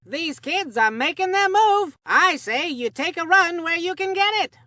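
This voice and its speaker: Folksy excitable kid baseball announcer for a cartoon game, high pitched n sharp, gushes in old timey slang. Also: female voice, measured pace, dry recording, clean studio sound, full character voice. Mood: excited. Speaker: sports commentator. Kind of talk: scripted game sports commentary.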